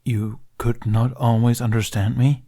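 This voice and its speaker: A man's voice: mid-range voice, level tone, measured pace, dark tone, breathy edge. A man voicing an exasperated male dragon companion who speaks in a formal, precise way. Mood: puzzled.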